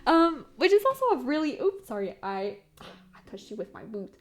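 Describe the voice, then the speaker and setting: A young woman with a Québécois French accent, speaking English as her second language, chats casually to her viewers. Her voice sits a little high, and she rambles excitedly, often restarting her sentences. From Quebec, Canada. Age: young adult. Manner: fast, excitable and rambling, with frequent fillers and restarts. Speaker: tarot content creator. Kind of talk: casual chat to camera.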